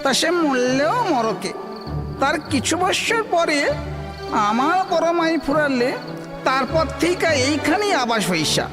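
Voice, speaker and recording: High-pitched old East Bengali ghost. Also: male voice, animated, a little slow, music in the background. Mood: boastful, reminiscing. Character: old miserly male treasure-guarding ghost. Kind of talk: theatrical comic audio drama.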